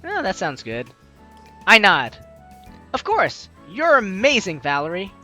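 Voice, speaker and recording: Bright, animated woman doing game commentary. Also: mid-range voice, unhurried. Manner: expressive, playful, slangy. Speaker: let's play host. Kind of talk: casual game commentary and reading dialogue aloud. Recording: faint music behind the voice.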